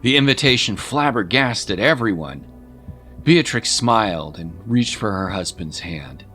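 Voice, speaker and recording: Low, expressive male storyteller over music. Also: measured pace. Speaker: audiobook narrator. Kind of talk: dramatic scripted story narration.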